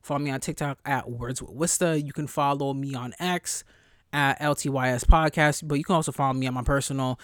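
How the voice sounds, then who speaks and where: A young woman hosting a solo podcast in a casual, chatty way, blunt and profane, her voice jumping high when she gets excited. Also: fairly quick, no room sound, clean studio sound. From New York area. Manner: profane, blunt asides to listeners. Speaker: podcast host.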